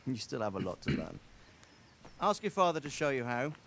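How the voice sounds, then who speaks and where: An actor playing a stately middle-aged medieval lord who speaks in a measured, noble way. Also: male voice, medium pitch, very animated, a bit bright, a little hiss or hum.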